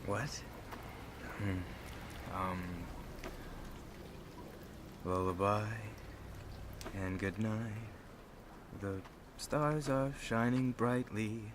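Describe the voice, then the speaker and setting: Guy acting homeless man, caring n casual, really slow talker, noisy ambience behind him. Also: lowish voice, fairly even intonation. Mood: concerned.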